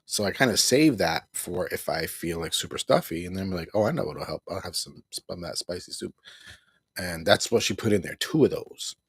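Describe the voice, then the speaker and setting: A middle-aged man talks loosely on a home livestream, circling back over the same points and chuckling now and then. His low voice is husky and gravelly and swings up and down a lot. The sound is close and dry. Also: lively intonation, moderate pace, dry recording. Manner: rambling, repeats himself, chuckles often. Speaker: livestream host. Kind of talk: casual live broadcast chat.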